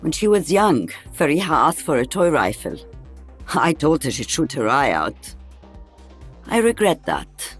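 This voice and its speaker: A woman's voice: low-pitched, big pitch swings, unhurried, husky, faint music behind, heavily acted. Wry elderly female sniper, swooping intonation. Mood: wry, weary. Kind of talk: video game character voice lines.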